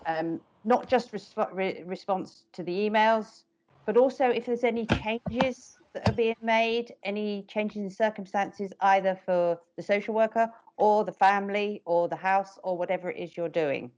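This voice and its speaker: A woman's voice: mid-range voice, moderate pace, darkish tone, a little hiss or hum. A middle-aged woman and parent carer speaks firmly and directly on a panel video call. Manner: firm, direct and emphatic. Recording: dull lo-fi call audio.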